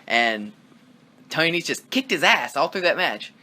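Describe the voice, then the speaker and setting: A man co-hosts a loose wrestling review chat, rambling with excitement and dropping casual swears. His voice bounces around a lot in pitch and has a bright, forward tone. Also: moderate pace. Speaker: podcast co-host.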